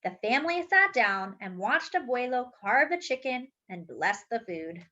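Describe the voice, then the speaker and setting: A woman's voice: fairly high pitch, normal speed. A woman reading a picture book aloud expressively, acting out the dialogue and adding chatty asides. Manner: animated, acts out the dialogue. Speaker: children's storybook reader. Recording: dull lo-fi recording.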